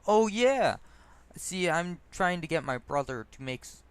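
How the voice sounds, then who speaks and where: A man voicing a chatty, oblivious drunken college guy in a story, loud, eager and rambling. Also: on the high side, lively intonation, a little slow, smooth, dry recording, pitched above the performer's own voice. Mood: boozy, enthusiastic.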